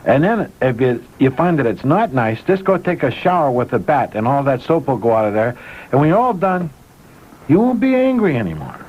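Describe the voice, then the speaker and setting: A man's voice: medium pitch, very animated, moderate pace, husky. A man improvising comic banter with a caller as a radio guest, rambling in a mock-earnest way on a lo-fi broadcast recording. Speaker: comedian co-hosting a radio show. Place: radio studio. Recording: dull lo-fi AM radio recording, faint noise.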